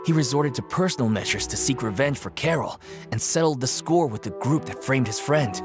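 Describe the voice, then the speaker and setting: A man's voice: medium pitch, animated, a little fast, natural voice. An actor voicing an earnest, gossipy townsman with a righteous streak in dramatic video game dialogue. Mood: gossipy, puzzled. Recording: loud background music.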